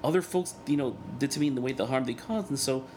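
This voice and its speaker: A man in his thirties whose first language is Spanish, speaking as a guest in a candid interview, earnest and reflective, often halting and restarting. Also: mid-range voice, darkish tone, slight background noise. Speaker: guest, cartoonist and organizer.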